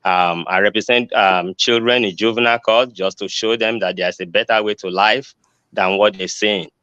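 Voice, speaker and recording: Older man, hesitant, low voice. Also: fairly even intonation, moderate pace, bright, forceful tone, no echo. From Nigeria. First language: Yoruba. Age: 60s. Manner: hesitant, many ums and restarts. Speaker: judicial candidate. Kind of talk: answering forum questions. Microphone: video call. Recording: dull lo-fi video call audio.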